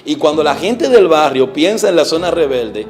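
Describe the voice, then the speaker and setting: Un hombre dominicano de unos cuarenta años, evangelista, cuenta su testimonio con acento dominicano, serio y con muchas pausas y muletillas. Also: male voice, on the high side, very animated. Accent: Dominican Spanish. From Dominican Republic. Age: 40s. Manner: earnest, hesitant with frequent fillers, trails off softly. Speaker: evangelist guest. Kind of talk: testimony interview.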